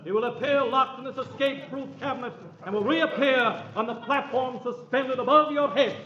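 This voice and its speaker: A man's voice: very high-pitched, moderate pace. An actor playing a showy male stage announcer with showman's patter in a 1940s radio comedy. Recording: muffled roomy 1940s radio broadcast.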